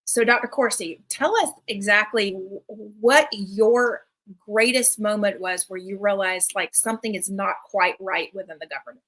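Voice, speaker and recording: A woman's voice: mid-range voice, normal speed, a bit bright, rough recording. Woman hosting a podcast interview. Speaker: podcast host. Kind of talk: interview questions.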